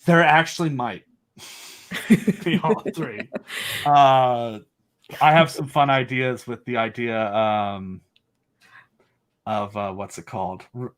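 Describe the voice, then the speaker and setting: A man talking casually and enthusiastically as a podcast guest, animated and joking, with wry asides. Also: medium pitch, slow pace, long pauses. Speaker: podcast guest, audio drama creator.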